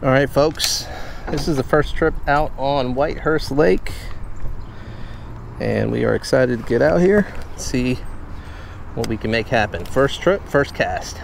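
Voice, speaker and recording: A man's voice: mid-range voice, measured pace, vocal fry, a little hiss or hum. A young man chatting casually while fishing outdoors and talking to the fish he catches. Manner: excited and teasing, talks to the fish. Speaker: fishing vlogger. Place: outdoors at a pond and on a small boat on a lake.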